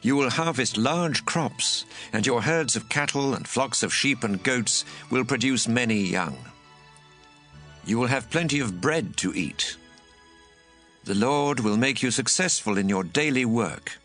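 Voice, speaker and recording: Guy playing solemn preacher reading out blessings, bible drama, mid pitch, soft music underneath. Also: lively intonation, a little slow. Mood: assured, solemn. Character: solemn male preacher pronouncing blessings and promises. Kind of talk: dramatized scripture recitation.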